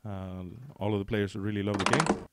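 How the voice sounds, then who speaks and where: A man's voice: fairly low pitch, steady tone, normal speed. A man with an Icelandic accent giving casual commentary as a game co-commentator. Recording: loud keyboard clicks and noise. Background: typing and clicking.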